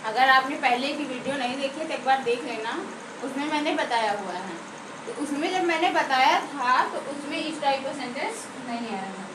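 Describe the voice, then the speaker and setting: A woman's voice: high-pitched, expressive, normal speed. A woman with an Indian accent giving an informal grammar lesson as a teacher, explaining step by step and often checking understanding with questions. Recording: roomy echo, distant mic, clear background noise.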